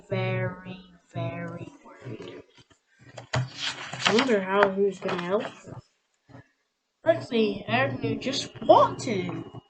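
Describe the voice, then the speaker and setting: A young reader reading a children's picture book aloud, haltingly, stumbling over and repeating words. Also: medium pitch, very noisy. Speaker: story reader.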